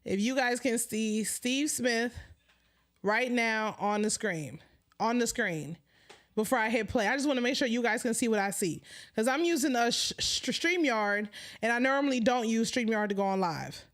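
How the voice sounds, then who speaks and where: High-voiced animated woman host with a stutter. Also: normal speed. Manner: animated, laughs often, stutters. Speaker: live-stream host. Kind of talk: casual live-stream chat.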